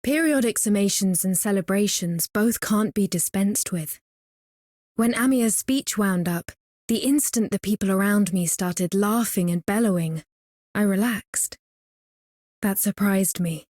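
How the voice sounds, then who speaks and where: A woman voicing a reserved, solemn, introspective game character, formal and quietly reflective. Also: medium pitch, measured pace, no echo, clean studio sound, close to an everyday voice.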